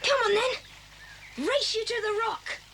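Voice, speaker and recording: A woman playing an eager, confident boy adventurer with a brisk, encouraging manner in a 1980s children's audio drama. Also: very high voice, pushed and bright, slight background noise, heavily acted. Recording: cassette drama with long reverb.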